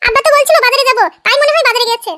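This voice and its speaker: Extremely squeaky, pert housewife. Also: female voice, very fast, sharp tone. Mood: pert, teasing. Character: squeaky, teasing young village housewife. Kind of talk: comic Bengali village cartoon dub.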